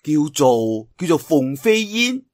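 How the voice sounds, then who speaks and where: Naive, animated young Cantonese swordsman acted by a man. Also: fairly high pitch, takes it slowly. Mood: puzzled, curious. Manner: wondering, questioning, exclamatory. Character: young male swordsman, naive, curious and easily amazed.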